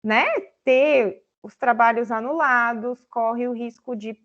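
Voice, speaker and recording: A woman's voice: a little high, steady tone, slow, a bit bright. A woman guest lecturer answering seminar questions over a video call, explaining at length with many fillers. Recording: slightly dull online audio.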